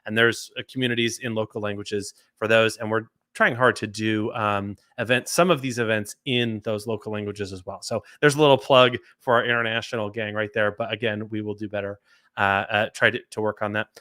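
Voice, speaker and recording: Bright, dry-voiced livestream host. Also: male voice, on the low side, a little fast, no echo. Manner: energetic, talkative, many fillers and repeats. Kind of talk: livestream interview hosting.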